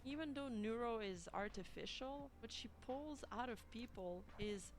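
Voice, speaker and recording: A woman's voice: on the high side, lively intonation, normal speed. A woman delivering a scripted video essay with emphatic, dramatic phrasing. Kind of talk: scripted narration. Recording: music behind the voice. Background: background music.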